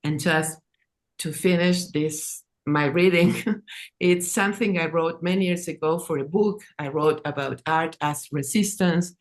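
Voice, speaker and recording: Woman, English, slightly low voice, halting artist talk, repeated words, informal. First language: not English. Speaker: artist and writer presenting own work.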